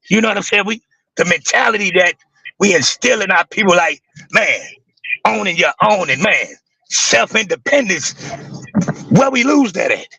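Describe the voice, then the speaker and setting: Man, raspy, creaky, high-pitched, intense and emphatic, dry sound. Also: sharp tone, no echo, low-quality recording. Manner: intense, emphatic, swears freely. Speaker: guest on a live stream. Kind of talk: casual livestream talk.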